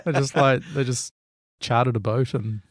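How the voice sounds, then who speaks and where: A man's voice: medium pitch, steady tone, moderate pace, dry recording, studio quality. A man chatting on a film podcast, musing in a mock-philosophical way. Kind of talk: casual chat.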